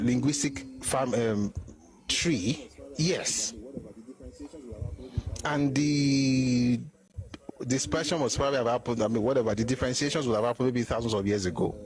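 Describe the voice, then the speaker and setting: A man's voice: medium pitch, lively intonation, a little slow, pauses often, a little mellow, rough recording. A middle-aged man with a Nigerian Yoruba accent giving an opinionated commentary monologue, emphatic and full of asides.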